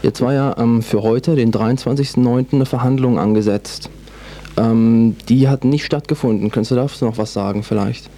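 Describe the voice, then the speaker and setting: A man conducting a radio interview, putting measured questions to his guest. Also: mid-range voice, steady tone, warm tone.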